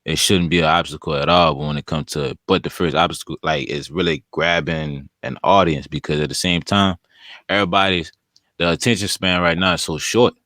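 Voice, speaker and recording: A young man with a very low, deep voice talks casually as a podcast guest, laid-back and rambling in street slang. The sound is close and dry, with no room around it. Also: fairly even intonation, fairly quick, pushed and bright, no room sound. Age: young adult. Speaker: rapper guest.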